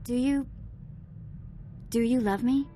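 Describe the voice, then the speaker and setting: A woman's voice: fairly high pitch, slow, warm tone, faint music behind. An actress playing an irreverent young alien woman in a sci-fi video game, speaking in a casual, playful, affectionate way. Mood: nervous, affectionate. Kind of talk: scripted video game dialogue.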